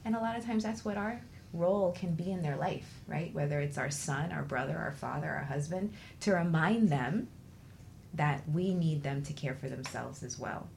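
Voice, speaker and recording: A woman with a low, gravelly-edged voice hosts a conversational radio show. She talks in a reflective, explanatory way, checking in as she goes. A faint noise sits under the recording. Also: normal speed, a little mellow. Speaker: talk show host.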